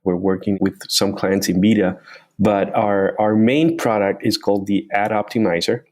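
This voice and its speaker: Low-voiced man. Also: steady tone, moderate pace, a little mellow. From Colombia. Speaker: guest, company founder. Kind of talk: interview answers.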